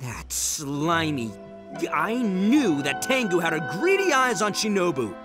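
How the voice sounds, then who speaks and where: A man's voice: a little high, huge pitch swings, measured pace. A man playing a brash, goofy young gang boss in a video game, slangy, blustering and theatrical. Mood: indignant. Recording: music behind the voice.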